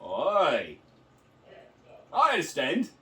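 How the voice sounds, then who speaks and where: Man playing a crude British lad with a put-on accent: lively, recorded far off in a roomy space. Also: a little high, drawn-out words, exaggerated voice. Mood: rowdy. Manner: rowdy, crude, mocking. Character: crude rowdy British bloke, adult man. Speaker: podcast co-host. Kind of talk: improvised comedy bit.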